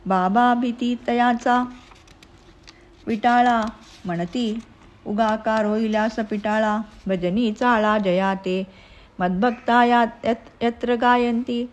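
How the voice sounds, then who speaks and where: A woman reciting devotional narrative verse in a measured way. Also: fairly high pitch.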